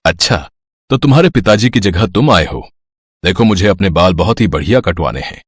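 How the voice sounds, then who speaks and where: A man voicing a commanding, quick-tempered king in a Hindi animated story. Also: sits low, slightly rough, dry recording, clean studio sound. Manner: commanding, formal. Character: imperious adult king, quick to anger.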